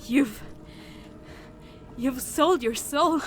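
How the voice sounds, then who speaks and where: Desperate, defiant female monster fighter, played by a woman, high and slow, audio drama, faint noise. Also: a bit bright, heavily acted. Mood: defiant, accusing.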